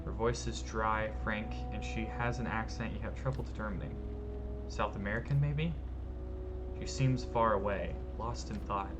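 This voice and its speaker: Calm man narrating a story game. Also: fairly low pitch, normal speed. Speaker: streamer reading a story game. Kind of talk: narrating a text game aloud. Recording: loud music behind.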